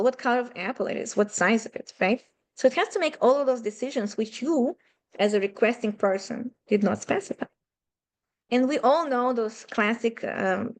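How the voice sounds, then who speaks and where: A woman with a Russian-Ukrainian accent giving an online math seminar lecture from home, talking conversationally and asking rhetorical questions. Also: fairly high pitch. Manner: conversational, asks rhetorical questions, non-native phrasing. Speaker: math teacher. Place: home room with a running aquarium.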